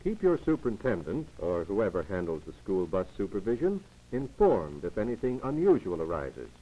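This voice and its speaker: A male narrator delivers formal, didactic instruction in 1940s film style. His voice is mellow and moves widely in pitch as he reads in measured phrases. The old soundtrack is dull and lo-fi. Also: big pitch swings, slightly rough.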